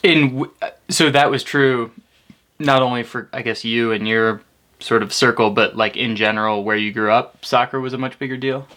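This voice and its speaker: A man's voice: mid-range voice, fairly even intonation, normal speed, bright, forceful tone. A man hosting a podcast, interviewing an athlete in a casual, conversational style.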